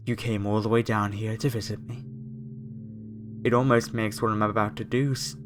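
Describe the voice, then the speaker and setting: A man's voice: on the low side, close to an everyday voice. A man playing a menacing, reverent cult fanatic in a horror ASMR roleplay, speaking to a captive in a theatrical, falsely soothing way. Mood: zealous reverence. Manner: slow, theatrical, preacher-like, softly coaxing. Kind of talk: horror ASMR roleplay, scripted monologue to the listener. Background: faint music.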